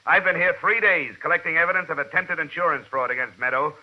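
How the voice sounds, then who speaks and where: An actor playing a blunt, driven American insurance investigator in an old radio detective drama, with a clipped, world-weary delivery. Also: male voice, a little high, quick pace, natural voice. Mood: angry, insistent. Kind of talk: 1940s-50s radio detective acting. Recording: muffled old radio recording, faint hiss.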